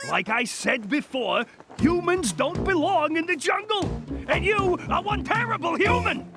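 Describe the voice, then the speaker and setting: An actor playing a cocky, bossy cartoon beaver with a gravelly voice and scornful, sneering wise-guy delivery. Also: extremely high pitch, expressive, a little slow, heavily acted. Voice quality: gravelly, creaky. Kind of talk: exaggerated cartoon villain acting. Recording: loud music behind the voice.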